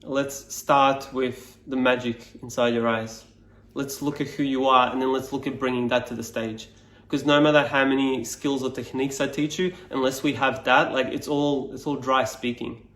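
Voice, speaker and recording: A man's voice: medium pitch, fairly even intonation, normal speed, a bit bright, echoey room. A man whose native tongue is Serbian, a speaking coach explaining his course to camera in a reflective, loose way, with many fillers and restarts.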